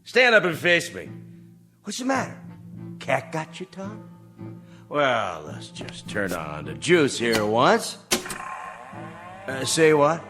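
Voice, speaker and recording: A man's voice: mid-range voice, wildly swooping pitch, takes it slowly, pauses often. An actor playing a drunk, middle-aged factory foreman, bitter and defiant, with sarcastic, emphatic delivery in an old television drama. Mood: drunken taunting. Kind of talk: mid-century television drama acting. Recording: old TV soundtrack with music behind.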